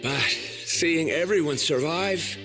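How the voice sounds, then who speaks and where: Weary, higher-voiced male explorer. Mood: wistful, at peace. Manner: measured, solemn, introspective. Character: weary, reflective male treasure-seeking explorer. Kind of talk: video game voice acting, dramatic monologue. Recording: clear game music behind the voice. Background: game music.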